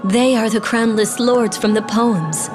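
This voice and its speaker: A woman's voice: fairly high pitch, natural voice. A woman playing a solemn, determined young sorceress princess in a dramatic fantasy video game. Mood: solemn resolve. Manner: dramatic, deliberate, incantatory. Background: music.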